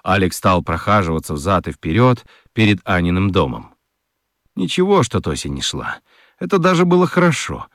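A man's voice: low-pitched, big pitch swings, normal speed, a bit bright, no echo, studio quality. A man narrating a children's radio play expressively from a script.

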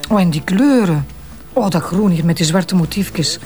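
A woman's voice: lowish voice, lively intonation, normal speed, a little mellow, soft background music, close to an everyday voice. An actress playing an affectionate, teasing wife and mother in a Flemish radio drama, talking in a playful, exclamatory way. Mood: admiring. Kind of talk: naturalistic radio drama dialogue.

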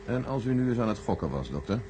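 A man playing a measured, secretive senior official in a science-fiction radio play, formal, deliberate and condescending. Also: lowish voice, sing-song. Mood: formal, evasive. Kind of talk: radio drama acting. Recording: noisy old radio recording with hum.

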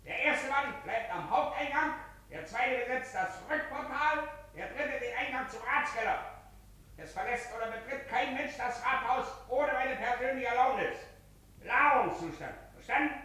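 A man acting a stern, brisk Prussian army captain in a 1950s German radio drama, giving clipped, authoritative orders. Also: very high-pitched, expressive, sharp tone, full character voice. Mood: barking commands. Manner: clipped military commands, curt and authoritative. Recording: roomy old 1950s radio recording.

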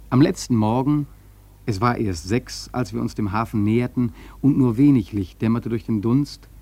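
An actor playing a thoughtful sailor who recounts his voyage in a radio play, measured and earnest. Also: on the low side, mellow, breathy edge, full character voice. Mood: hushed, reflective. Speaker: narrator and protagonist. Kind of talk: literary radio play narration.

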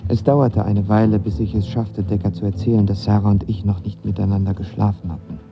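A man's voice: on the low side, little pitch movement, a little fast, creaky, dull audio, over music. An actor playing a lovestruck, insecure young actor who tells of his first love in a radio drama, intimate and colloquial. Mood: wistful narration. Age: young adult. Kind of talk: radio drama acting and first-person narration.

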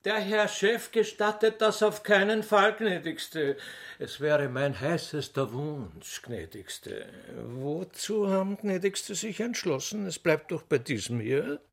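A man's voice: high voice, lively intonation, takes it slowly, vocal fry, exaggerated voice. A smarmy, buttery-voiced Austrian salesman. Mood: effusive. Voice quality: unctuous, buttery. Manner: gushing, servile, insinuating, with lingering emphasis. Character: smarmy, flattering male furniture salesman wooing a customer. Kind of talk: radio play acting.

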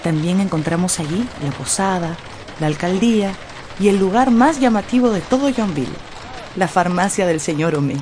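A woman giving scripted, expressive narration for a radio novel. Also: on the low side, normal speed, slight background noise. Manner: expressive storytelling. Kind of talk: scripted dramatized audiobook narration.

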